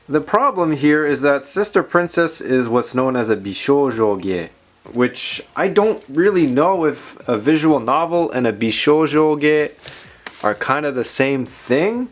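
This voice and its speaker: Man, tech video host, informal show-and-tell, rambling asides, music playing behind. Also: medium pitch.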